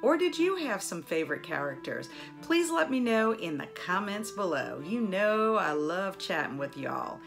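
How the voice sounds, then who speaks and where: A middle-aged woman hosting a book channel, chatting casually to viewers with enthusiastic, expressive emphasis. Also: lowish voice, normal speed, vocal fry, over music. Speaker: book vlogger and channel host.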